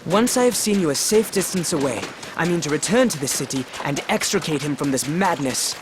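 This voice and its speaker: An actor playing an earnest, dutiful young military officer in a fantasy video game, speaking in formal, resolute, archaic phrases. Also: male voice, a little high, expressive, brisk, background noise, natural voice.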